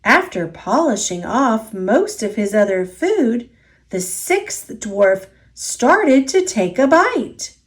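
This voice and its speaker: A woman reading a children's picture book aloud as a storyteller, expressive and deliberate, drawing out key words. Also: a little high. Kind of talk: children's story read-aloud, scripted narration.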